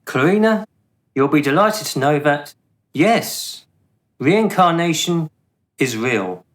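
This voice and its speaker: Man acting a slow, patronising afterlife bureaucrat. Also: a little high, big pitch swings. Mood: smugly amused. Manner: deliberate, officious, patronising. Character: smooth, condescending male afterlife bureaucrat judging the dead. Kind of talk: radio play acting.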